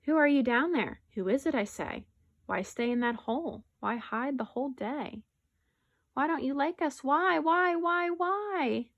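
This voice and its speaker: A woman's voice: high-pitched, animated, a little slow, lo-fi sound, voice pushed up. A woman voicing a friendly, curious picture-book bear who calls out questions emphatically. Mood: pleading, insistent.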